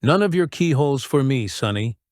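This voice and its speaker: A man voicing a fawning, sneering middle-aged pirate with a slow drawl, on a close, dry mic. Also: medium pitch, expressive, darkish tone, no echo, pitched above the performer's own voice, close to an everyday voice. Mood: sly. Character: wheedling menacing middle-aged male pirate.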